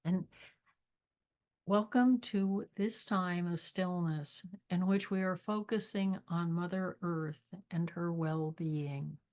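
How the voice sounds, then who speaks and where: A woman leading a guided meditation with spiritual readings, speaking in a measured, deliberate way with long pauses. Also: fairly low pitch, steady tone, a little mellow. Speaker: meditation leader, spiritual minister.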